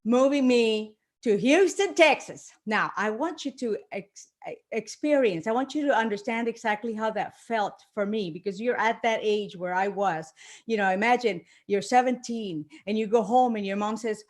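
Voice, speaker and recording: Energetic woman giving a keynote. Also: a little high, normal speed. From Puerto Rico. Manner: energetic, animated, addresses the audience directly. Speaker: keynote speaker. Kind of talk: motivational speech with jokes.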